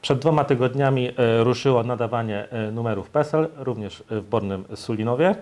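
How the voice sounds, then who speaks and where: A man's voice: mid-range voice, brisk, darkish tone. A man reading a formal, scripted news voice-over for a local program.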